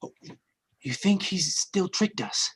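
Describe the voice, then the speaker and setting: A man plays an uneasy rescuer in a radio horror drama. His husky voice rises and falls sharply with urgent, anxious exclamations. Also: high voice, lively intonation, slow pace, a bit bright, full character voice. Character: anxious male companion Stan, helping the rescue. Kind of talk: old-time radio horror acting.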